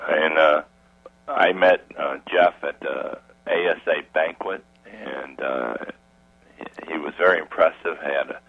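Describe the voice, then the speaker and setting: A man co-hosting by phone, hoarse from a cold, talking in a slow, measured way. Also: very deep voice, dry recording. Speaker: co-host. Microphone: phone line. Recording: tinny dry phone line.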